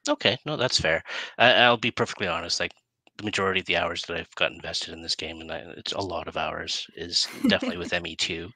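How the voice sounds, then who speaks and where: A man's voice: low voice, sing-song, fast talker, pushed and bright, no room sound. A middle-aged man chatting casually as an interview host in a rambling, self-interrupting way. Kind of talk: casual chat. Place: indoor room, video call. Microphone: headset.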